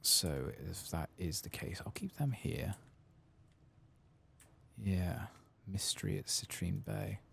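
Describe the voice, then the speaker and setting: A man with a low, very husky voice gives soft ASMR game commentary. It crackles with fry, and he murmurs his way along as if to himself, sometimes in a whisper. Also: takes it slowly, long pauses. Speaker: host of a game playthrough channel.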